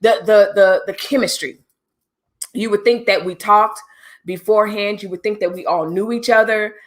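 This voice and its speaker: A woman's voice: medium pitch, fairly even intonation, normal speed. A middle-aged woman hosting a livestream, talking casually and directly to her viewers with preachy asides. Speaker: livestream host.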